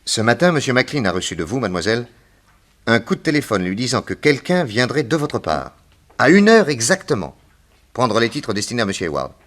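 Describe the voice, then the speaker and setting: Animated, authoritative male detective. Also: medium pitch. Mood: probing, assured. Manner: brisk, methodical, authoritative. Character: self-assured male private detective questioning suspects. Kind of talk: French radio detective drama acting.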